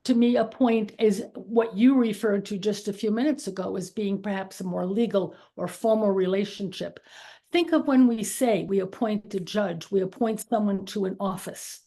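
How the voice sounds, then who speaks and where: A woman speaks up in an adult study class with a warm, mellow voice. She lays out her point in a measured, thoughtful way. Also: mid-range voice. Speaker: class participant. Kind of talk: classroom comment.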